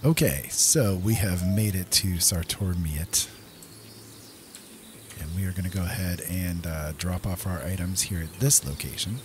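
Low-voiced man doing casual game commentary. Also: measured pace, background noise. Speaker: gaming video host.

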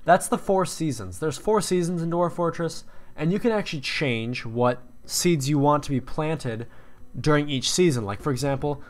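A young man casually walking through a game tutorial, explaining step by step with fillers and restarts. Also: a little high. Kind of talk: screen-recorded game tutorial.

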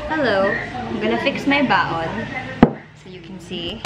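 A woman's voice: mid-range voice, animated, a little slow, low-quality recording. A woman in her twenties with a Filipino accent, chatting informally and playfully in a casual home cooking vlog. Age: 20s. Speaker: vlogger. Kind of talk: casual cooking vlog chat, mixing Tagalog and English. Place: home kitchen and living room, indoors. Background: background music.

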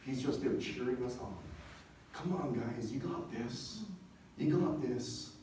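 A male pastor teaches from a church stage in a conversational sermon style, often checking in with questions. His voice is soft-edged and mellow. It is picked up from a distance, with heavy room echo and a little background noise. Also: medium pitch, expressive, slow. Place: church sanctuary, speaking from the stage. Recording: echoey church hall, distant mic, faint noise.

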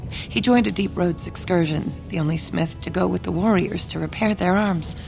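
A woman voicing a grieving middle-aged dwarf widow in a video game, speaking in a mournful, solemn way with a low, gravelly voice. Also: normal speed, rough recording. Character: grieving older dwarf widow praying for lost son. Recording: game audio with music underneath.

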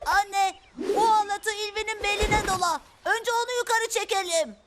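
A woman's voice: very high-pitched, fairly even intonation, moderate pace. A woman playing a brave, clever young Viking child in a dubbed children's cartoon. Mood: urgent calling. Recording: loud music behind the voice.

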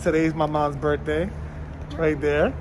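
A man's voice: on the high side, little pitch movement, measured pace, noisy background. A man filming a casual family vlog on a handheld camera at a mall and restaurant, laughing often. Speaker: vlogger.

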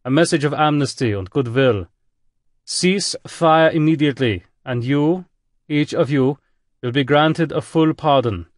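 A man voicing an imperial space admiral with a thick German accent, booming and imperious. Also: a little slow, no room sound, clean studio sound, natural voice. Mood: threatening.

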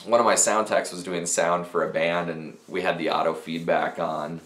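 A man's voice: deep voice, level tone, moderate pace, a bit bright. A man in his thirties casually reviewing audio gear to camera, talking technically with fillers and restarts, recorded in a small office. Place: small cluttered office with speakers and mixers. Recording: roomy small-office echo.

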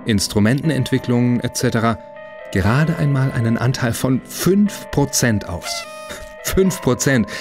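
A man reading scripted narration for an audio feature. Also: medium pitch. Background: music behind the voice.

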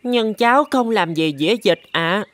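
A woman voicing a timid, innocent poor little boy who pleads meekly in an animated folk tale. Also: mid-range voice, moderate pace, dry recording, lightly acted. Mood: pleading, frightened. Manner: meek, pleading.

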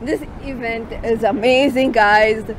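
A woman's voice: fairly high pitch, fairly even intonation, unhurried, a little hiss or hum. A woman in her twenties giving casual, playful answers as an interview guest, recorded outdoors on a handheld mic. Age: 20s. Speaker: interview guest, university student. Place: open beach, outdoors. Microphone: small handheld mic held by interviewer.

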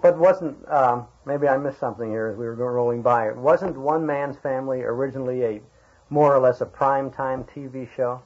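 An American man working as an interviewer, asking questions conversationally and haltingly as he searches for words. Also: medium pitch, a little mellow, slightly rough. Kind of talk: conversational radio history interview. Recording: dull, lo-fi interview tape.

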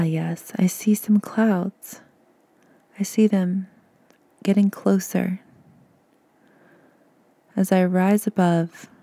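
Slow, low-voiced woman guiding a meditation. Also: gravelly, no echo. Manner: soothing, gentle, unhurried with long pauses. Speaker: podcast host and meditation guide. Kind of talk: guided meditation narration.

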